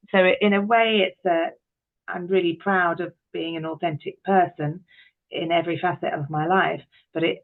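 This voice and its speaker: Middle-aged woman with a gravelly, creaky voice. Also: low-pitched, phone-quality sound. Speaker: interview guest, writer and producer. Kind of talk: reflective interview chat.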